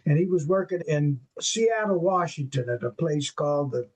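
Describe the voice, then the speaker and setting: A man's voice: a little high, moderate pace, breathy edge. An older man from western New York, a jazz saxophonist, telling stories in an interview and acting out the dialogue. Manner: animated, acts out quoted dialogue, peppers speech with "man". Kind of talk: storytelling in an interview.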